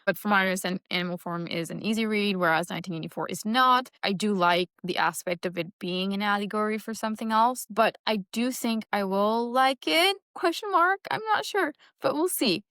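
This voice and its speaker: A woman's voice: medium pitch, a little fast, few pauses, a bit bright, smooth, dry recording. Chatty young woman, dry sound. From Mauritius. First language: Mauritian Creole. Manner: chatty, thinking aloud, self-deprecating with light laughs. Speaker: book vlogger. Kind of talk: casual reading-plans chat.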